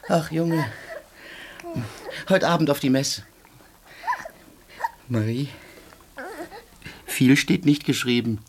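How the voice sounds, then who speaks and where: An actor in a radio drama playing a haunted, agitated poor soldier and father who broods in hushed, disjointed phrases. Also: medium pitch, takes it slowly, long pauses, background noise. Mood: distracted, brooding.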